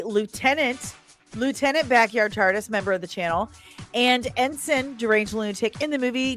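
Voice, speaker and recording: Lively high-voiced woman livestream host. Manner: playful, expressive, sing-song greetings. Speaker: live stream host reviewing comics and screen news. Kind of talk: casual livestream chat, reading out viewer comments. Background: faint background music.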